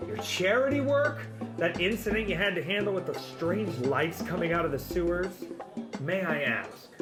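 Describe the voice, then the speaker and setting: A flirtatious, effusive mayor, acted by a woman, lowish in pitch but swooping expressively. Her phrasing is theatrical, in audio drama fashion, over prominent music. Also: lightly acted.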